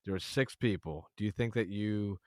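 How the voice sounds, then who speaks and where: A man in his early twenties hosting a podcast, asking casual, excitable questions and swearing freely. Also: lowish voice, normal speed, dry recording, studio quality. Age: 20s. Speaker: podcast host.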